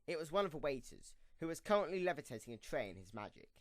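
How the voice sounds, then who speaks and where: A man's voice: on the high side, sing-song, fast talker, no room sound, studio quality. A man reading scripted story narration as the narrator of an audio drama.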